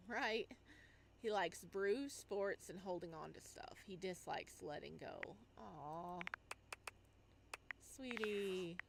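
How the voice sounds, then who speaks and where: A woman hosting a game playthrough, reading on-screen text aloud and reacting casually as she goes. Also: on the low side. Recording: clear background noise under the voice.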